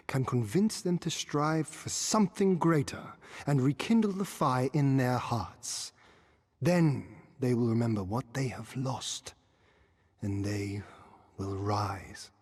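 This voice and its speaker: Casting: stoic young warrior prince for fantasy game cutscene, husky, archaic measured speech, reverberant hall. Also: male voice, mid-range voice, animated, darkish tone. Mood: stirring resolve. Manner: formal archaic diction, measured, oratorical. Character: young exiled prince of a conquered eastern land, earnest, stoic. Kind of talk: cinematic video game voice acting.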